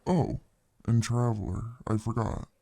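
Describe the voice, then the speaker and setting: Man acting as friendly fantasy bartender, mellow, close dry sound, folksy and easygoing, ASMR roleplay. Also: mid-range voice, steady tone, measured pace, gravelly, no echo. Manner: laid-back, hesitant, folksy, chatty. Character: friendly easygoing male fantasy tavern bartender, protective of his community. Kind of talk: ASMR roleplay, casual improvised-sounding chat to the listener.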